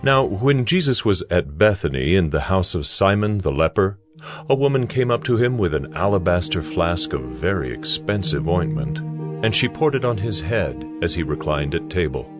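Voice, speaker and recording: A man narrating scripture from a script in a measured, solemn way. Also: low voice, very animated. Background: music.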